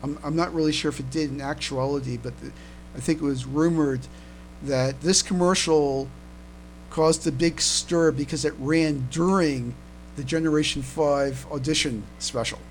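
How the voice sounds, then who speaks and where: A man with a fairly high voice talks casually as a podcast co-host. He goes haltingly, doubling back on his words. There is faint noise and hum behind him. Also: poor audio. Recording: steady background noise and hum.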